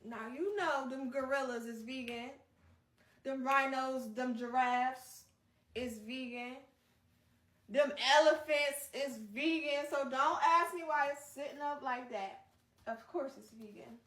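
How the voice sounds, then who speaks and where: A woman hosting a read-aloud, moving between narration and casual, expressive, chatty asides. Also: high voice, measured pace. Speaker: host reading a book aloud on a live stream. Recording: roomy echo, distant microphone.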